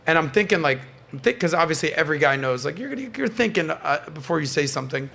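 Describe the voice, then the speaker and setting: A man's voice: a little high, expressive, fast talker, gravelly, fairly bright tone. A man hosting a podcast in casual, opinionated panel chat, bantering and swearing freely. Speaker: podcast host.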